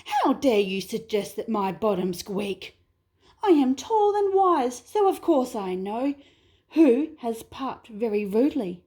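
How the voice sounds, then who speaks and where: A woman's voice: fairly high pitch, sing-song, slow, dark tone. A woman voicing a tall, proud female emu who is offended. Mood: indignant. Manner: haughty.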